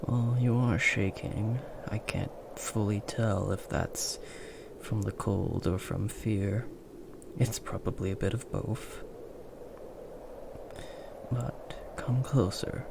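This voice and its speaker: A man voicing a big, gentle, protective werewolf in a comforting ASMR roleplay, speaking in a hushed, soothing, breathy murmur. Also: on the low side, steady tone, takes it slowly, background noise. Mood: tender, soothing.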